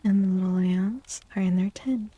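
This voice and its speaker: Breathy, soft-spoken woman doing ASMR. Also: mid-range voice, steady tone, normal speed, no room sound, muffled recording. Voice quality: breathy, often slipping into whisper. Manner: playful, cutesy, affectionate. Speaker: streamer doing tarot readings. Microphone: close microphone.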